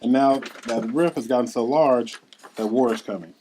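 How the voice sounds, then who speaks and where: A man's voice: medium pitch, moderate pace, mellow, slight background noise, natural voice. A man reading the part of a solemn exiled council elder in an earnest, expository way at a script table read. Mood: grave, explanatory.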